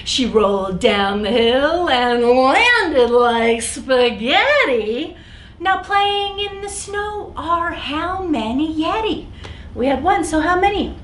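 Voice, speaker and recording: American lady doing kids story time, really sing-song up and down, slow, echoey room. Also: high voice. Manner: animated, simple explanations addressed to children. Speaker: children's librarian, story time host. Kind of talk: interactive story time for young children. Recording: roomy echo, some background noise.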